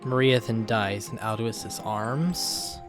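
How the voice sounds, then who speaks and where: A man's voice: on the low side, slow. A man reading scripted narration for a comic-book dramatic story. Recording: music behind the voice.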